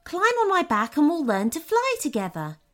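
A woman voicing a friendly, curious female storybook unicorn, gentle and encouraging with a sense of wonder. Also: high-pitched, wildly swooping pitch, moderate pace, soft background music, voice pushed up, exaggerated voice. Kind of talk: storybook character voice.

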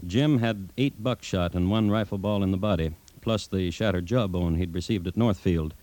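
An actor playing a droll, solemn crime-history storyteller, narrating a 1950s radio drama in deliberate, dry, clipped phrases. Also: male voice, low voice, a little mellow, close to an everyday voice. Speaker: host-narrator.

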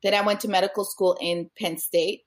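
A middle-aged woman obstetrician, whose first language is French, chats on a podcast as a guest. She talks in an animated, rambling way, restarting often, in a mid-pitched voice. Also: a little fast, crowd noise. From born in Brooklyn, raised in Montreal. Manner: chatty and animated, laughing, many fillers and restarts. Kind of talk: casual interview chat.

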